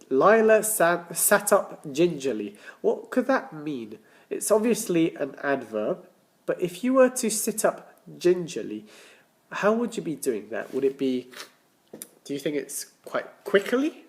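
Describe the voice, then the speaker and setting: A man reading a children's story aloud as a teacher, with deliberate dramatic emphasis and questions to his listeners. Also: fairly high pitch. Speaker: primary school teacher.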